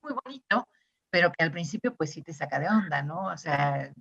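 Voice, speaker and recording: A middle-aged Argentine woman with an Argentine Spanish accent, answering interview questions in a thoughtful, searching way with many pauses and restarts. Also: low voice, animated, creaky, fairly bright tone, husky, dull audio. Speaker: interviewee, discourse analysis scholar. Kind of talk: reflective conversational interview.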